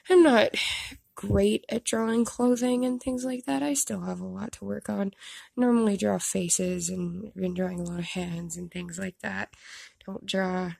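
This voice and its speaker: Stuffed up young woman rambling about her drawings, kinda gravelly, dry close phone sound. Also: medium pitch, a little mellow, no echo. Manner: self-deprecating, rambling with restarts. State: stuffed up, congested. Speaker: artist showing her drawings. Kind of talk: casual narration of a drawing time-lapse. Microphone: phone camera.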